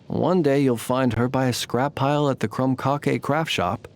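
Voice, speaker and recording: A male actor plays a courtly, enigmatic knight from a fantasy video game, with a mid-pitched voice. He speaks formally and eloquently, with the modest, old-fashioned manners of a gentleman who keeps watch with his lamp. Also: fairly even intonation, moderate pace, lightly acted. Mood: reflective, courteous. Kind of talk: scripted game character voice lines.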